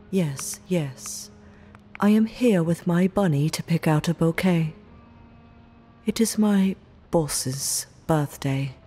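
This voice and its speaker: A woman's voice: low-pitched, dark tone, slight background noise. A woman playing a playfully menacing, eccentric mob underling in an audio roleplay, speaking coyly in theatrical, old-fashioned phrases. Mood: sweetly curious. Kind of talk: ASMR audio roleplay acting.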